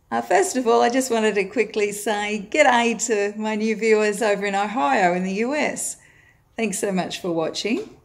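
A middle-aged Australian woman at home, chatting casually as she films a grocery haul from above on her phone, with plenty of "uh" fillers. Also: medium pitch. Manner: friendly, chatty, with frequent "uh" fillers. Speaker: vlogger. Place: home, indoors, at a table of groceries.

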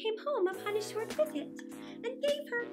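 A woman voicing a gentle, sunny storybook buttercup, tender and unhurried. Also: extremely high pitch, loud music behind the voice, pitched above the performer's own voice. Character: bright, gentle buttercup flower telling sunny tale.

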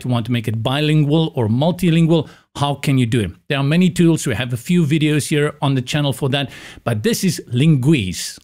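Upbeat Afrikaans-speaking man explaining software. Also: mid-range voice. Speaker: web tutorial host. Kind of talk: screen-recorded software tutorial. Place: small room with window blinds, indoors. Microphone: large studio mic in front of face.

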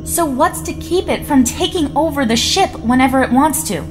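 An actress playing a skeptical, sarcastic spaceship crew member in an audio drama, wry and grumbling. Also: female voice, sits high, expressive, normal speed, over music.

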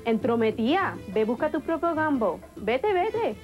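A woman playing an irritated, loudly scolding woman shooing someone away in a comic skit. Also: high-pitched, big pitch swings, moderate pace, lo-fi sound, over loud music. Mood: exasperated. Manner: loud, exasperated.

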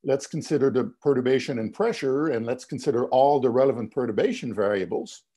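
Older guy teaching physics over a video call, mellow husky voice, lots of "you know"s, going a bit hoarse. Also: mid-range voice, brisk. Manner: explanatory, many "you know" fillers and restarts. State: losing his voice, coughing. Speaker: physics lecturer. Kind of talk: webinar lecture over slides. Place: home office, indoors.

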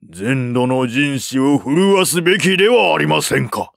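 Earnest royal minister, acted by a male narrator, raised pitch, slow grand oratory, emphatic, audiobook epic. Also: vocal fry, fairly bright tone, no echo, clean studio sound. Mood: impassioned, solemn.

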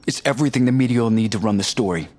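An actor playing an eager, cocky, talkative tech strategist in a naturalistic audio drama. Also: male voice, medium pitch, rapid-fire, darkish tone, natural voice. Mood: confident. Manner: talkative, boastful, informal. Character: eager, cocky, nerdy male tech strategist, chatty roommate.

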